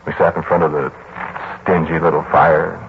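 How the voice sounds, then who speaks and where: An actor playing a pensive, polite student lodger in a 1940s radio drama, speaking in a measured, brooding way. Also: male voice, a bass voice, level tone, gravelly, slight background noise. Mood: brooding, hesitant. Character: pensive male student lodger recounting an eerie memory. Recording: tinny, band-limited 1940s radio recording with music.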